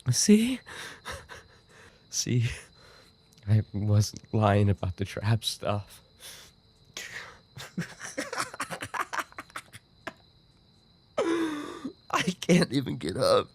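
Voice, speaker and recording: A man playing an obsessive, unstable yandere roommate in an audio roleplay, with an intimate, halting delivery. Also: wildly swooping pitch, darkish tone, a little hiss or hum, full character voice. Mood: anguished, pleading. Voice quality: low, gravelly. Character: obsessive male yandere roommate, soft-spoken, darkly devoted, unstable. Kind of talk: boyfriend ASMR audio roleplay.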